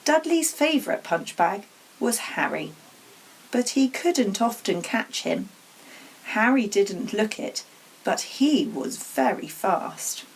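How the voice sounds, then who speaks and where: Slow, expressive woman reading a story. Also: medium pitch, a little hiss or hum, poor audio. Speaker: story reader. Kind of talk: expressive children's storybook narration.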